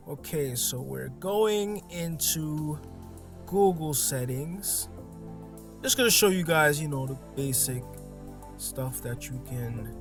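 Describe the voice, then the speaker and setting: A man giving a casual, hands-on installation tutorial in an informal, slangy, playful way. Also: medium pitch. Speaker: car audio installer demonstrating a product. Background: music from a car stereo.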